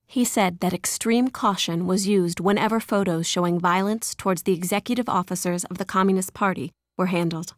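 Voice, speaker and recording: A woman's voice: fairly low pitch, steady tone, a little fast, hardly any pauses, dry recording. Woman narrating a documentary, dry studio sound. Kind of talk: scripted narration.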